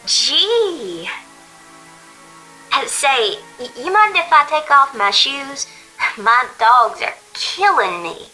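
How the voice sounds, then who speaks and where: An actress playing a breezy, slangy young female hitchhiker in an old-time radio drama, with a drawn-out, folksy delivery. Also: high voice, big pitch swings, bright, forceful tone, faint music behind, exaggerated voice, rough recording. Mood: breezy, weary.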